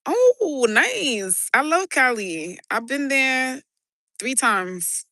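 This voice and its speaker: A woman with a New York accent, chatting casually and excitably on a live stream from a city rooftop, talking into her phone. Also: sits high, extremely slow, no echo, clean studio sound. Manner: chatty, swearing, rambling and excitable. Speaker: livestreamer. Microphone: handheld phone.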